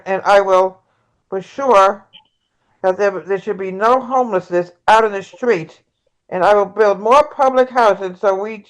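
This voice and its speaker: An earnest progressive woman , acted by a woman, stands as a presidential candidate in a stage-play debate. She speaks at a middle pitch and stumbles a little, repeating phrases as she states her principles. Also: lightly acted, rough recording. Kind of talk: amateur table read of a stage play.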